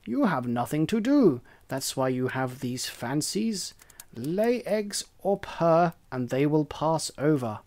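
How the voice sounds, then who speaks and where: A storyteller voicing a conceited, bossy hen who lectures in a raised, high voice. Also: sing-song, slow pace, a little mellow. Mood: scolding. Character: bossy conceited hen, scolding and self-important. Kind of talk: storybook reading.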